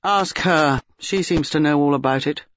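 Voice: mid-range voice, animated, measured pace, muffled recording. A reader voicing a shaken young husband, sullen and fierce, with an agitated, blurting delivery.

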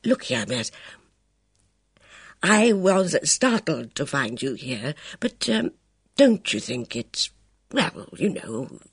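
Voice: medium pitch, big pitch swings, slightly rough, no room sound, studio quality, heavily acted. A reader voicing a hesitant, kindly older military man who stammers and halts between words. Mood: flustered. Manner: stammering, halting, full of fillers.

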